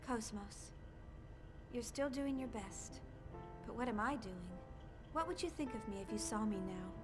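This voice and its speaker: A woman's voice: fairly high pitch, a little slow, music in the background, close to an everyday voice. A woman voicing a polite, wistful young female scientist in a video game cutscene. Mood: wistful, downcast. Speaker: game voice actor. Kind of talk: English game cutscene acting.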